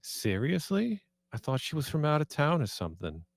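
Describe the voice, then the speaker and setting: A man's voice: a little high, very animated, moderate pace, vocal fry, dry recording, studio quality. A man playing a flirty, nosy woman bartender with a casual, probing manner in a screenplay read. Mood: curious.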